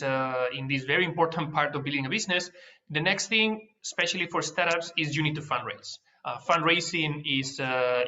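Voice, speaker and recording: A man's voice: medium pitch, bright, forceful tone, dull audio. A man from Spain with a Spanish accent, giving a presentation as an accelerator director, with frequent fillers and restarts. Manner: hesitant, many fillers and restarts. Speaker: managing director of a startup accelerator, guest speaker. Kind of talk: webinar presentation.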